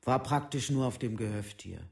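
Man as withdrawn villager, medium pitch, halting, colloquial, short sentences, radio play. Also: vocal fry, natural voice. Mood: subdued, resigned. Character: withdrawn villager, newcomer who never fitted in. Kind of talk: documentary radio play monologue.

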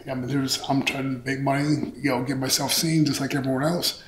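A man's voice: mid-range voice, level tone, a little fast, noisy background. A middle-aged man telling stories casually in an interview, candid and profane, acting out bits of dialogue. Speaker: interview guest, pro wrestler. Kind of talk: animated casual storytelling. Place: small indoor interview set on a couch. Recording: roomy echo.

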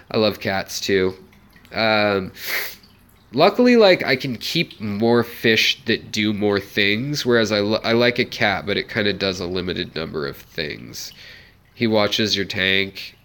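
A man's voice: lowish voice, sharp tone, clean tone. Stuffed-up man chatting on a live stream. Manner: rambling, chatty, many fillers. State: stuffed-up nose. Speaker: aquarium channel host. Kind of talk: casual live stream chat. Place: home room by a fish tank.

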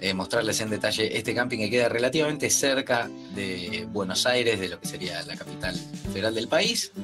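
A man's voice: on the low side, normal speed. A man in his thirties with an Argentine accent, explaining things in casual chat on a video call. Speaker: guest camping video creator. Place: indoor room, video call. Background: music.

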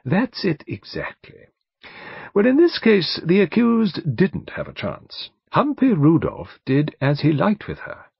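A man voicing a thin, precise English barrister in an audiobook, quietly persistent, reflective and dry. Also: fairly high pitch, huge pitch swings, a little slow, gravelly, husky, dry recording, lo-fi sound, pitched above the performer's own voice, exaggerated voice. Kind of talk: audiobook character dialogue.

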